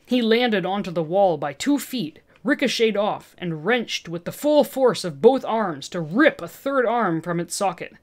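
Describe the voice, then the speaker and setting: A woman's voice: medium pitch, expressive, unhurried, hardly any pauses. A woman reading fiction aloud as narrator, in a dramatic scripted storytelling style.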